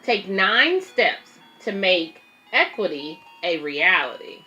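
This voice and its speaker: A woman's voice: fairly low pitch, big pitch swings, slow pace, bright, forceful tone, dull audio, faint music behind. A woman hosting a children's read-aloud, reading a picture book and explaining it simply to young listeners.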